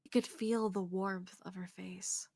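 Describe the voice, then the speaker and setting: A woman's voice: medium pitch, steady tone, unhurried, creaky, darkish tone, no room sound. Woman narrating, dry close sound. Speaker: co-host reading visual novel narration. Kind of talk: scripted narration read aloud on a game stream. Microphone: close streaming mic, dry sound.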